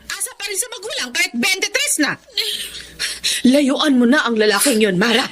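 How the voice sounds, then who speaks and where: Strict, high-pitched Filipino mother. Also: animated, moderate pace, fairly bright tone. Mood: angry, scolding. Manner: emphatic, reproachful. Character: strict, scolding middle-aged mother, protective and distrustful. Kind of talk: Tagalog radio drama acting.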